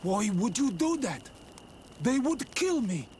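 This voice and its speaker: A man's voice: very high voice, sing-song, slow, dark tone, a little hiss or hum, full character voice. A man playing a bitter, fearful native stone engraver in hiding, solemn and guarded, in a video game cutscene. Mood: alarmed, angry.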